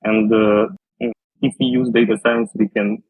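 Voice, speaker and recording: A man, answering interview questions slowly and carefully, with many fillers and restarts. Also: fairly low pitch, level tone, a little mellow, breathy edge, dry recording. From Russia. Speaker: guest, data scientist. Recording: tinny phone-like line.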